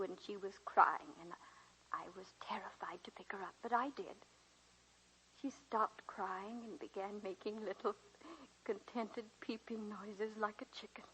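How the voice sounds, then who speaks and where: An elderly lady teacher played by an actress in a 1940s radio play, looking back with gentle wry kindness. Her voice is bright and clearly projected, under a light layer of hiss. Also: medium pitch, close to an everyday voice, low-quality recording. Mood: tender, reflective. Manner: reminiscing, gentle, theatrical delivery. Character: elderly woman college teacher, reflective, wry, kindly great-aunt. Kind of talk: 1940s radio drama acting. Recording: hissy 1940s radio broadcast recording.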